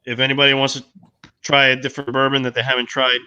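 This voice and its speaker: A man's voice: mid-range voice, completely flat delivery, fast, bright, forceful tone, clear, resonant tone, dry recording, dull audio. A man co-hosting a sports podcast, chatting casually in a blunt, slangy way. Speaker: podcast co-host.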